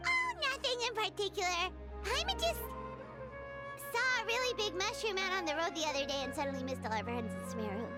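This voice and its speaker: Super high squeaky little game fairy girl, exclaims a lot, swoopy and excitable. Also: brisk, over loud music. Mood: curious. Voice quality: squeaky childlike voice. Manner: exclamatory, speaks of herself in third person. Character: tiny excitable flying fairy girl, greedy for snacks. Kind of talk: video game voice acting.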